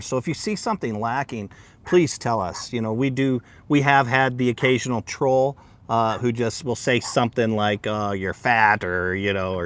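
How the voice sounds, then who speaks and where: A man's voice: mid-range voice, fairly bright tone. Guy cohosting a chill livestream, lots of ums and restarts, voice carries fine. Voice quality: easily heard, carrying voice. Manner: rambling, many fillers and restarts, easy laughter. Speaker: livestream co-host. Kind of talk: casual livestream chat.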